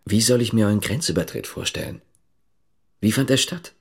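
A suspicious man with a low, rough-edged voice. He probes in terse, controlled phrases, methodical and wary, in a naturalistic radio drama. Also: moderate pace. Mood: probing. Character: suspicious, probing, methodical man interrogating a visitor.

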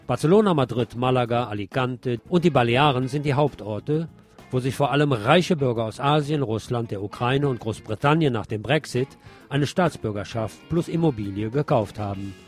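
A man's voice: mid-range voice, moderate pace, faint music behind. A man presenting scripted radio news, reading reports and adding wry, sardonic asides.

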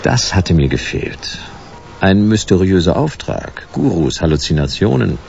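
A hard-boiled private detective in a slightly dull-sounding radio drama. He is laconic and sardonic, a wry, deadpan noir figure who does not let go. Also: low-pitched, a little slow, vocal fry, slight background noise, full character voice. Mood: wry, dry.

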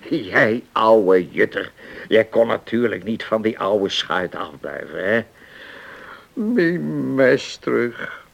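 An old seafarer in a radio drama, slow and gravelly. He is genial and sentimental, muses aloud, repeats his phrases and teases his friend with a lively, swinging pitch. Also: full character voice. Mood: delighted, wistful. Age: older. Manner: slow, musing, repeats himself. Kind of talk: radio play acting.

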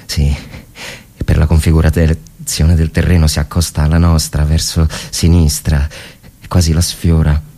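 An actor playing a tormented, fervent Italian army officer in the trenches of the First World War, in a theatrical dramatic reading with halting repetitions. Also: male voice, very deep voice, flat delivery, full character voice. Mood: low, brooding. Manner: theatrical, halting, repeating phrases, swinging from hushed to frenzied. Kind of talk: dramatic literary reading as monologue.

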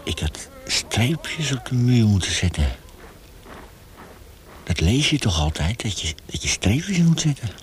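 An actor playing a feverish, tortured young male prisoner facing death in a radio drama, speaking haltingly with hushed passages. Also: mid-range voice, sing-song, a little hiss or hum. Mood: resigned, regretful.

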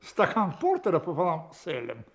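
An actor playing a self-assured, wry gentleman detective in a radio play, with a brisk, amused and confident manner. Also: a little high, huge pitch swings. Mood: lively recounting.

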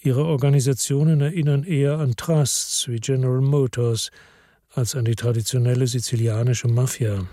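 An actor plays a weary detective with a husky voice, recorded dry and close. He narrates in a low, brooding tone and turns harsh when he argues. It sounds like a radio crime drama. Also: steady tone, moderate pace, warm tone, no echo, close to an everyday voice. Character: weary middle-aged male detective narrator.

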